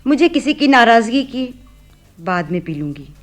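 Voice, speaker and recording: A woman's voice: on the high side, very animated, a little slow, soft background music. An actress playing a fragile, sulky and shy young daughter in a radio play, girlish and emotional.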